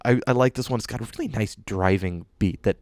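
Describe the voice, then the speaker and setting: A man's voice: on the low side, quick pace, darkish tone, no room sound. A man co-hosting a podcast, presenting his picks in easygoing, approving casual chat and often repeating himself. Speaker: podcast co-host presenting fan music.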